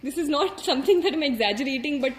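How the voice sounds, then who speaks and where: High-voiced earnest woman, Indian English. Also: expressive, fast, reverberant, slight background noise. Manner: earnest, thoughtful, many fillers and restarts. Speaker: exam topper sharing advice. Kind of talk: reflective advice talk.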